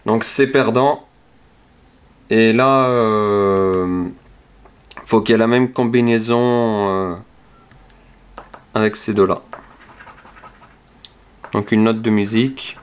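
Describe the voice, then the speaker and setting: A man calmly talks through each number as he scratches lottery tickets, casual and methodical. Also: fairly low pitch, clean tone. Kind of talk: casual narration while scratching cards. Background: scratching sounds.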